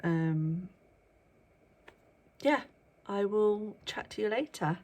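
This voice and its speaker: A young woman vlogging to camera in a casual chat at her workplace early in the morning, with a bunged-up voice from a cold and lots of ums, sometimes dropping to a hush. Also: mid-range voice, expressive, drawn-out words. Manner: chatty, with frequent ums, sometimes hushed.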